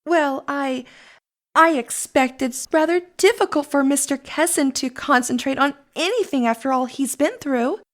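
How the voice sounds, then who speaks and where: A woman's voice: high voice, normal speed, lightly acted. A woman playing a charming, genteel sister in an audio drama, with a polite, airy, sociable manner. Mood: light, gracious.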